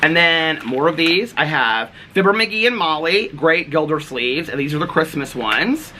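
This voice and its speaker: Animated American man, highish voice, noisy recording. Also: moderate pace. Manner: chatty, gushing, easily excited. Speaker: vlogger. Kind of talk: casual show-and-tell chat to camera. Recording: clearly noisy home recording with clicks.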